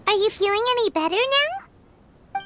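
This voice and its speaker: A woman voicing a tiny, childlike fairy companion in a video game, squeaky, friendly and outspoken. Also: expressive, a little slow, noisy background. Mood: helpful, friendly.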